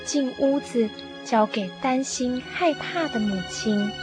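A woman's voice: high voice, takes it slowly, music in the background. A woman narrator telling a scripted story for a radio drama.

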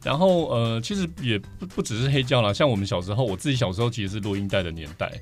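A man's voice: medium pitch, animated, quick pace, faint music behind. Taiwanese man telling stories as a guest. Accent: Taiwanese Mandarin. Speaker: podcast guest, vinyl record collector. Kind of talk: casual interview storytelling.

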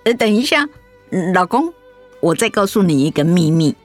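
An actress playing a sensitive, affectionate but easily hurt middle-aged wife in a radio drama, with a Taiwanese accent and a pouting, questioning manner. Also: female voice, on the low side, big pitch swings, normal speed, faint music behind. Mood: confiding.

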